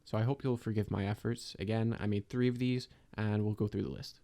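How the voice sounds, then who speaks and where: A man giving a scripted voice-over about his own 3D animation project, in a measured, explanatory and lightly self-deprecating manner. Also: on the low side, fairly even intonation, gravelly, mellow. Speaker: video creator and 3D animator.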